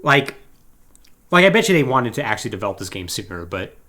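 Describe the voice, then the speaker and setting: A man co-hosting a podcast in casual chat, talking in an animated, emphatic, conversational way. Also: medium pitch, brisk. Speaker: podcast co-host.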